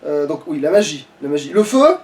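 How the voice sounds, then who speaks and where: A man's voice: fairly high pitch, big pitch swings, fairly quick, a little mellow. A man playing a video game and commenting casually to a friend, sweary, grumbling and sarcastic. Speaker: let's player, playing the game. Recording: distant mic, game music behind.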